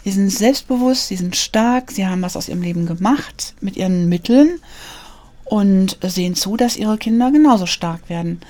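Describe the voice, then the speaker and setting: A woman speaks in a mellow, warm voice, earnest and reflective. She pauses often and searches for her words. She sits at a studio mic in an interview. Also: mid-range voice, lively intonation. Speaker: author interviewed about portraits of immigrant women. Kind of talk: thoughtful interview answers.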